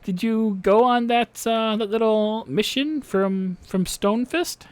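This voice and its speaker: A woman's voice: a little slow, darkish tone, clean tone, close to an everyday voice. Man playing a chirpy barmaid. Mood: curious. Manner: flustered, stammering, high and gushing. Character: chirpy female tavern keeper, fussy and friendly.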